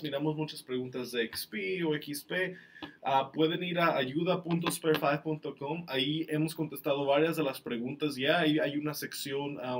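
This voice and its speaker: A man from Mexico hosting a live-streamed question-and-answer session, explaining things in a relaxed way with many fillers. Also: medium pitch. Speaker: company staff host answering questions.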